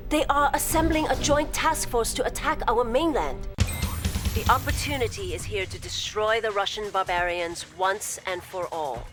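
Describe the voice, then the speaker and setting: A woman's voice: mid-range voice, lightly acted. Woman acting poised imperial briefing officer over music. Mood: urgent, zealous. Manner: formal, measured, deferential. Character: poised, devoted female imperial logistics officer briefing commanders. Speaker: military intelligence and logistics officer. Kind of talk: scripted video game cutscene briefing. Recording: loud music behind the voice.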